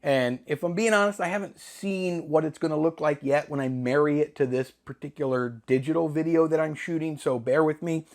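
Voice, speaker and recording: A middle-aged man with a slightly hoarse voice, chatting casually to camera in a rambling, self-deprecating way with jokey asides. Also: on the high side, steady tone, fairly quick, hardly any pauses. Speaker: film hobbyist channel host.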